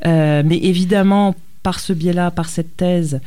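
A middle-aged woman historian, a guest on a radio interview, who explains at length with frequent thoughtful pauses and hesitations. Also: fairly low pitch, fairly even intonation, mellow. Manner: thoughtful, many hesitations with "euh". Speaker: historian, university lecturer.

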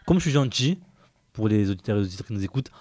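Male podcast host, fast and animated, playful teasing delivery. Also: lowish voice. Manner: lively, teasing, repeats himself. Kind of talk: casual radio podcast interview.

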